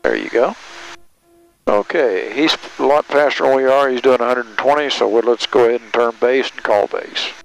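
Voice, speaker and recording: An older man in his sixties instructing in halting, short directives over an airplane headset intercom. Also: mid-range voice, creaky, low-quality recording. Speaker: flight instructor. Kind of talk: calm cockpit instruction. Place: small airplane cockpit in flight. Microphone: aviation headset boom mic. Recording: aircraft intercom headset with engine noise.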